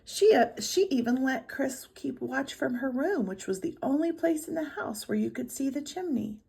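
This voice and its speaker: A woman reading a storybook aloud to children in expressive narration. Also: sits high, moderate pace, gravelly, breathy edge. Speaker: storybook reader.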